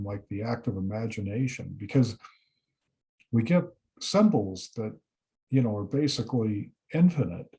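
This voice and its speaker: A man's voice: fairly low pitch, vocal fry, warm tone, rough recording. A man moderating a casual online reading-group discussion, talking loosely with many fillers and inviting others to speak over a video call.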